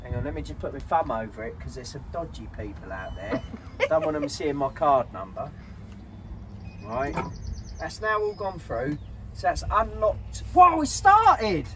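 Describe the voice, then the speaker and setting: Animated British man, high-pitched, jokey. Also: moderate pace. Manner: jokey, teasing banter. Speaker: vlog host. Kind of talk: casual chat to camera. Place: camper van, farm yard and outdoor spots. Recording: clear ambient noise on camera audio.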